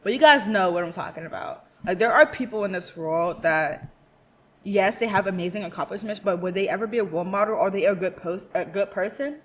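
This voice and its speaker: A woman's voice: medium pitch, a little fast, fairly bright tone. Young woman doing a reaction vid, chatty, exasperated, laughs a lot. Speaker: reaction video host. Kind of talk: casual reaction commentary. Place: cold room at home.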